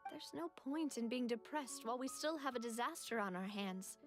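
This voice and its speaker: An actress plays a young lady who is mourning yet determined. Her voice is fairly high, polite and earnest. It is video game dialogue with music clearly underneath. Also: expressive, normal speed, natural voice. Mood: sad, resolute. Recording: music behind the voice.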